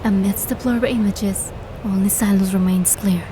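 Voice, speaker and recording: A woman voicing a bold, curious young heroine, with expressive storytelling delivery in a fantasy game. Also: medium pitch, normal speed, background noise, natural voice. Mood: narrating, hushed wonder. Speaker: fan dub voice actor and narrator. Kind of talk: scripted first-person fantasy game narration and dialogue.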